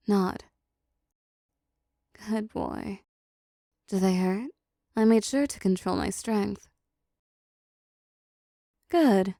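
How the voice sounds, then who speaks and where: Woman acting a slow, creaky-voiced seductive demoness. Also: mid-range voice, warm tone, dry recording, clean studio sound. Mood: flirty, coaxing. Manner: slow, teasing, dominant, doting. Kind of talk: intimate roleplay audio acting.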